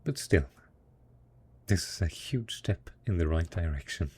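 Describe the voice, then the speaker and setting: A man acting as a devoted, hopeful survivor in an intimate audio roleplay, talking tenderly and coaxingly to a spouse, with a husky, gravelly voice. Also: low-pitched, animated, a little slow, darkish tone, full character voice. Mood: thoughtful, caring.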